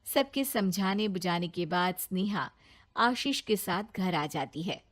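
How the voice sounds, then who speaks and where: A woman narrating a cartoon story in an expressive, scripted storytelling manner. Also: on the low side, a little slow.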